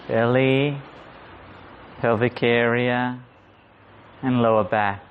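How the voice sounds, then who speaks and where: A man guiding a meditation in a gentle, unhurried manner, leaving long pauses. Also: medium pitch, fairly even intonation. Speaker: meditation guide. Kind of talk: guided meditation. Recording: muffled audio with clear background noise.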